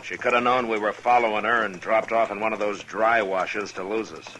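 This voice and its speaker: Very deep-voiced, stern frontier marshal. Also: male voice, pushed and bright, poor audio. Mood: measured. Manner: terse, measured, commanding. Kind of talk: old-time radio western acting and narration. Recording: vintage radio drama with music bed.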